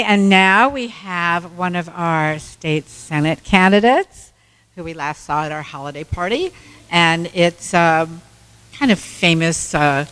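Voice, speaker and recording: A woman emceeing a club meeting, introducing speakers in a casual, hesitant way. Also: lowish voice.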